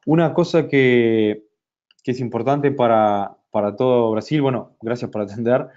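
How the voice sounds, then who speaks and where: A man presenting a software product in a webinar, talking slowly with many fillers and restarts. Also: medium pitch, muffled recording. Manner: hesitant, many fillers and restarts, speaks slowly in Spanish for a Brazilian audience.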